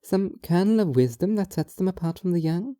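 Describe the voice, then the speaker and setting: A woman playing a strange, earnest young philosopher in an audio drama, talking in a formal, measured, literal way. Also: on the low side, lively intonation, dark tone, no echo, studio quality, lightly acted. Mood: earnest, questioning. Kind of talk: audio drama monologue and dialogue.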